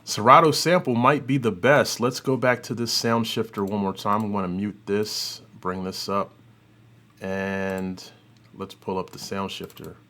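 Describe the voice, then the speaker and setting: A man with a fairly low voice gives a casual software demo. He talks informally, thinking aloud as he works, over faint background noise. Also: fairly even intonation, unhurried. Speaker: host of an audio software tutorial.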